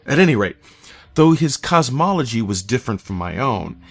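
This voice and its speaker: A man reading a scripted first-person horror story in a measured, somber, literary manner. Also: medium pitch, big pitch swings, breathy edge, soft background music.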